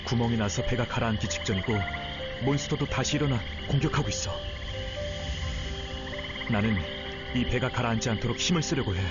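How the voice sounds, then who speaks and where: A man voicing a gentle, responsible young teacher and protector in an anime-style game story, earnest and reassuring. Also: medium pitch, brisk, pauses often, natural voice. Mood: grave, resolved. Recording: dull game audio under loud music.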